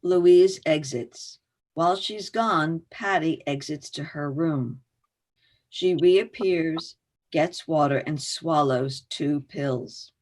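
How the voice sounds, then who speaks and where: Slow woman reading stage directions. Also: sits low, darkish tone. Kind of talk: scripted narration of stage directions.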